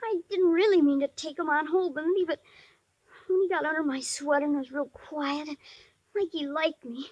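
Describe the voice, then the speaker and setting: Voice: sits high, fairly even intonation, moderate pace, dry recording, muffled recording, close to an everyday voice. An actor playing a shy, earnest young boy with an American accent, hesitant and artless, in 1950s radio drama style. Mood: sheepish, hesitant. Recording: dry 1950s radio recording.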